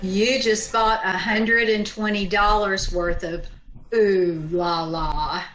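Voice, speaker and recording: A woman's voice: medium pitch, fairly even intonation, takes it slowly, fairly bright tone, soft background music. A woman playing a wry, wisecracking schoolteacher in an old-time radio comedy, with a dry manner. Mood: wry, defiant. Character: wry, sardonic female schoolteacher who narrates her own troubles. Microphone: video call. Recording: echoey room over video call.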